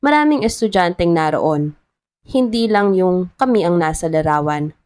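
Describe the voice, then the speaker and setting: A woman with a Filipino accent voicing a strict, principled female town treasurer in a story, calm, formal and resolute. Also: on the low side, moderate pace, clean tone, close to an everyday voice. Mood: calm, firm.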